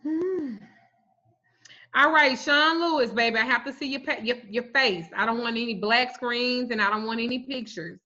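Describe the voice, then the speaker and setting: A woman in her forties, a spiritual reader, telling stories on a livestream in a blunt, profane, animated way. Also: a little high, moderate pace. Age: 40s. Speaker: spiritual reader and root worker. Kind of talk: casual livestream talk. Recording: muffled lo-fi stream audio.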